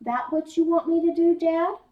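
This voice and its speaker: A woman's voice: extremely high pitch, moderate pace, dull audio, voice pushed up. A woman voicing an earnest, stubborn eleven-year-old country boy who pleads in folksy phrasing in a children's story. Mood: defiant. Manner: pleading, rural colloquial phrasing. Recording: roomy echo, distant mic.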